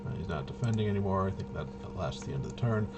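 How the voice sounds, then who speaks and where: A man's voice: sits low, lively intonation, very fast, dull audio, background noise. A man giving casual play-by-play of a tabletop roleplaying game, muttering and thinking aloud as he goes. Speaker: solo tabletop game player and host.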